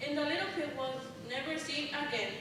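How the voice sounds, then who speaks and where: A woman's voice: high voice, fairly quick, natural voice. A young female student giving scripted fairy tale narration in a live school play. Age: young teen student. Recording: echoey hall from far off, noisy.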